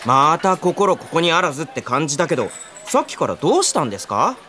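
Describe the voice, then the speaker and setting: A woman's voice: low-pitched, big pitch swings, fast talker, fairly bright tone, soft background music. A woman playing an earnest, polite young man, a boyish and eager junior colleague in a radio drama. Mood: concerned.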